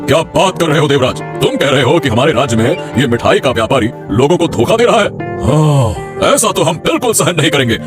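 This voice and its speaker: A righteous, authoritative king holding court in a cartoon story, acted by a man. His voice is a little high and stern, set over clear background music. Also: expressive, a little fast, lightly acted. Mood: concerned, stern. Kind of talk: Hindi cartoon voice acting.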